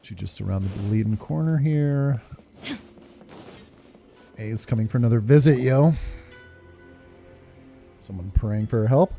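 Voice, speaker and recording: A man's voice: mid-range voice, unhurried, gravelly, long pauses, dark tone, soft background music. A man giving casual, slangy playthrough commentary as host of a gaming video, reacting aloud.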